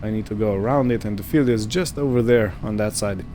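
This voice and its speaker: A man giving casual roleplay gameplay commentary, unhurried and thinking aloud as he goes. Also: on the low side, warm tone, slight background noise. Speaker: gaming video host.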